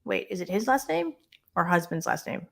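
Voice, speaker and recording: A woman co-hosting a live immigration Q&A stream, chatting and giving quick practical tips. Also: medium pitch, big pitch swings, gravelly. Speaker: immigration lawyer co-host.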